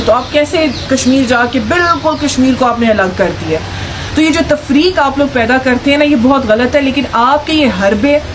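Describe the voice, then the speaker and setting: A woman political commentator giving a sarcastic, scolding opinion vlog. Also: a little high. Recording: echoey room with noise.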